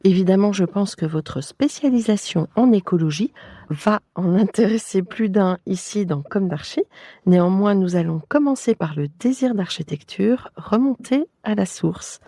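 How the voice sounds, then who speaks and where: A woman hosting an architecture podcast interview, reading her introductions and questions in a poised, measured way. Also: mid-range voice, warm tone, no room sound, clean studio sound. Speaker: podcast host.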